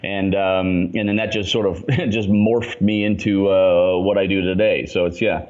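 A man in his forties from Canada, talking about his work in a relaxed, chatty way with many fillers. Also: sits low, unhurried, muffled recording. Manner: relaxed and chatty, lots of fillers, laughs easily. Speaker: guest, urban design consultant. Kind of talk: casual interview chat.

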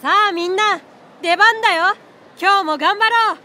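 A woman's voice: very high-pitched, moderate pace, sharp tone. A woman voicing a small boyish unicorn guardian beast in an anime-style voice drama, speaking as a composed, knowing mentor. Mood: cheerful.

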